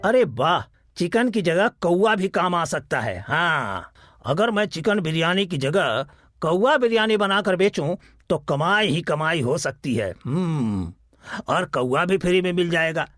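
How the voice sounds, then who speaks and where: A scheming village food seller for an animated moral tale, acted by a man. The voice is high and very dry. He is greedy and folksy, with an exaggerated storybook delivery. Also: unhurried, no echo.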